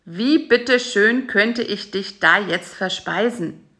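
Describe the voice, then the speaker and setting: Woman doing a big gruff spider. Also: mid-range voice, slow, bright, forceful tone. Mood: warm. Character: fat male spider, gruff but softening.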